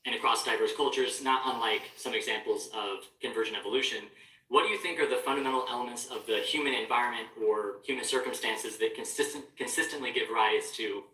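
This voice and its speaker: Man posing a formal audience question, bright edgy tone, echoing from a distance. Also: medium pitch, a little fast. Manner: formal, fluent, reads a prepared question. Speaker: audience member asking a question. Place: large hall with a live audience. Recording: echoey hall, voice from far off.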